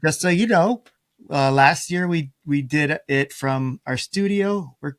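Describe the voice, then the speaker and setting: A man's voice: on the high side, measured pace, dry recording, clean studio sound. Appreciative male podcast host, casual and conversational. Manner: appreciative, complimentary.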